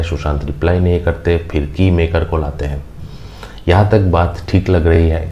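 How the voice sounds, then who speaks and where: A man's voice: rumbling low, dark tone. A man with an Indian accent giving opinionated news commentary as a host, emphatic and repetitive. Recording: faint background noise.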